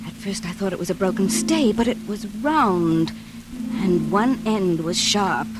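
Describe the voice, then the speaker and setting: An actress playing a terrified woman in an old radio thriller, with breathless, halting, melodramatic delivery. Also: mid-range voice, lightly acted, poor audio. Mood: hushed dread. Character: terrified woman recounting her mad sister's deadly menace. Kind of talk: 1940s radio suspense acting, dramatic first-person narration. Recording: old radio drama, music behind.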